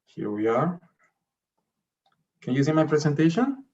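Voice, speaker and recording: A man giving a slide presentation as a director at an online summit, speaking haltingly and often repeating and rephrasing his words. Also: a little high, sing-song, darkish tone. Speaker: investment promotion director presenting. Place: remote online video call. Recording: dull, lo-fi video call audio.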